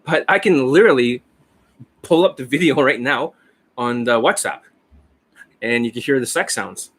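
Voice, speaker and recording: A man coaching about dating who talks in a brash, profane, rambling way, hoarse and losing his voice, with coughs between lines. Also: medium pitch, expressive, moderate pace, a bit bright. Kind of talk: casual monologue over a screen share. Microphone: desk microphone.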